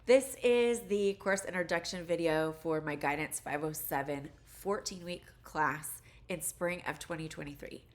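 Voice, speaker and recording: A low-pitched woman in her forties with a stuffed-up, congested voice from allergies. She talks through a course introduction like a friendly college instructor, chatty and loose with plenty of "um" and "like". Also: measured pace, hardly any pauses. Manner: chatty, rambling, many "like" and "um" fillers. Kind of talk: course introduction video, casual lecture.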